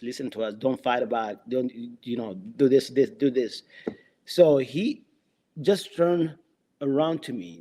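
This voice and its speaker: A man with a mellow, relaxed tone and an ordinary, middle-of-the-road pitch tells stories from his police work. English is not his first language, and he talks in halting runs, restarting phrases and leaning on "you know" as he goes. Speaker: interview guest, police officer. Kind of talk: conversational interview storytelling.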